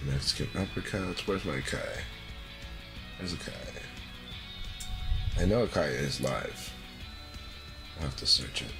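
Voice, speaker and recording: A man hosting a livestream in a relaxed, rambling way, his voice deeper than usual after secondhand smoke. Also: a little slow, pauses often, over music. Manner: relaxed, rambling, many fillers. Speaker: streamer. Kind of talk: casual livestream chat.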